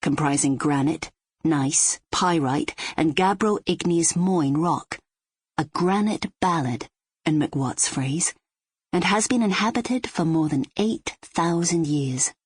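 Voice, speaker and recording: A Scottish woman reading literary narration for an audiobook in a measured, descriptive manner. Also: low-pitched, husky, no echo.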